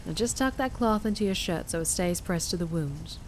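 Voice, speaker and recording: A woman's voice: on the low side, lively intonation, a little fast, slight background noise, lightly acted, poor audio. A woman playing a fierce, flirtatious vampire biker with a Southern US accent, teasing and protective in an intimate ASMR roleplay. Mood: teasing, reassuring.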